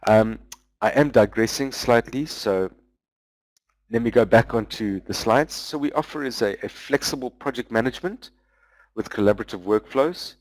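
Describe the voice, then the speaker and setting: A man giving a webinar presentation, walking through software features in a loose, explanatory way with frequent pauses. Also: medium pitch, no echo, low-quality recording. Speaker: business development manager presenting a webinar.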